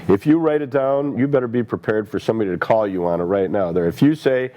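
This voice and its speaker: Wry male interviewee, low mellow tone, animated. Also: fast talker, rough recording. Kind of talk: documentary interview.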